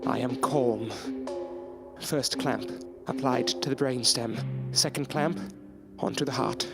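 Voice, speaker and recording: An actor playing a frantic, lovesick mad scientist in an audio drama, with a melodramatic delivery. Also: male voice, medium pitch, fairly even intonation, slow pace, creaky. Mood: tense, focused. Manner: melodramatic, swinging from hushed monologue to outbursts. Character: frantic, lovesick mad scientist reviving his dead beloved. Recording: loud music under the voice.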